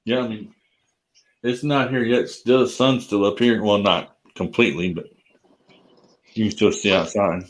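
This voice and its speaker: A man hosting a livestream video chat, relaxed, chuckling and agreeing along with his guests. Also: lowish voice, moderate pace, pauses often, rough recording. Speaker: livestream host.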